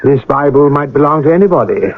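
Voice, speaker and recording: An actor playing a shrewd, methodical police inspector in a radio mystery drama, deliberate and musing, with polite authority. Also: male voice, a little high, expressive, a little hiss or hum, natural voice. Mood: inquisitive, musing. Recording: lo-fi old radio broadcast recording.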